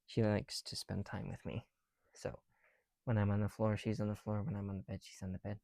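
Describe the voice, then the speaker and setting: A man's voice: lowish voice, flat delivery, a little fast, creaky, dark tone, dry recording. A man making a casual ASMR video, chatting in a self-deprecating way with small asides as they go. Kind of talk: ASMR, casual chat while building bricks. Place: on the bedroom floor at night.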